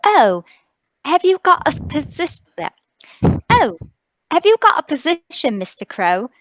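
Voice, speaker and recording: A woman's voice: high voice, very animated, unhurried, no echo, pitched above the performer's own voice, low-quality recording. Woman as sweet, lilting, inquisitive young lady. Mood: eager, curious. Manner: coaxing, sweetly fussing. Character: kindly, inquisitive young woman, a landlady's fond daughter. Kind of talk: radio-play table read.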